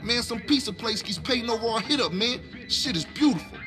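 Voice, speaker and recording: An actor playing a cocky, hot-tempered gangster who talks in brash, mocking slang in a video game. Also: male voice, very high-pitched, lively intonation, fairly quick, over music. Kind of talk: video game cutscene acting.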